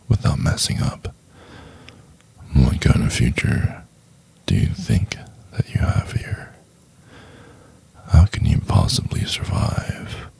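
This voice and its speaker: A man playing a cold, contemptuous CEO in an ASMR roleplay, talking slowly and condescendingly in a breathy, often half-whispered voice. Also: darkish tone, heavily acted. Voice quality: very deep, gravelly, breathy. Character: cold, contemptuous, domineering male CEO berating an intern.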